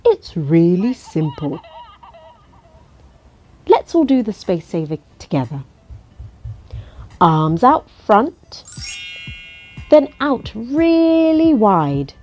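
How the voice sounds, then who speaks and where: A woman reads a children's picture book aloud at an unhurried pace. Her pitch moves up and down in a lively, expressive way as she coaxes the listener along, with music playing behind her. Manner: expressive, coaxing, asks the listener questions. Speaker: storybook reader.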